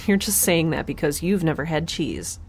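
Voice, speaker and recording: A woman playing a wry, frazzled young scientist in an audio drama, chatty and sardonic with halting moments. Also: sits low, lively intonation, a little mellow, husky, exaggerated voice. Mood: playful, awkward. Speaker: lead actor and creator of the podcast. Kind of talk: first-person audio drama, spoken log.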